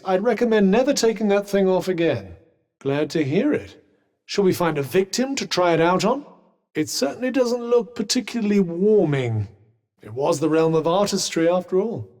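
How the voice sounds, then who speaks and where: A British actor voicing a witty, haughty magical cuff in a video game, speaking in a dry, wordy, formal way. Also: male voice, high voice, darkish tone, big-room sound.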